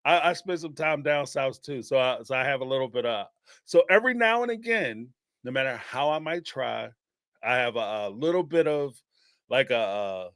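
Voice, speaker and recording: A man's voice: medium pitch, moderate pace, dry recording. Philly guy hosting his live show, rambly, laughs a lot, voice swings up and down, dry close sound. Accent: Philadelphia. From Northeastern United States. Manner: animated and rambling, laughs often, many fillers. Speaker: live-stream show host taking call-ins. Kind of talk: casual live-stream commentary.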